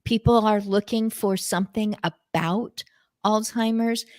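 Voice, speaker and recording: Slow-spoken woman with a thin alto voice. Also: medium pitch, fairly even intonation, a little mellow, dry recording, clean studio sound. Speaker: guest charity representative. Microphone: video call, changing microphones.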